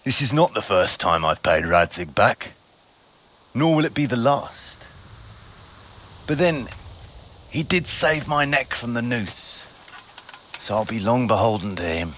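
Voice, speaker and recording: An actor playing a cynical, roguish mercenary captain in a video game, sardonic and swaggering, with a rough voice. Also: male voice, mid-range voice, sing-song, measured pace, pauses often, a bit bright, a little hiss or hum. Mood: defiant, measured. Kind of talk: dramatic video game dialogue.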